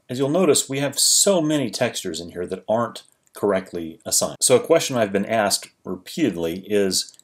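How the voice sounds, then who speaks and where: Low-voiced man explaining a software tutorial. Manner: relaxed, explanatory, with frequent restarts. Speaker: 3D tutorial creator. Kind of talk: screen-recorded software tutorial narration.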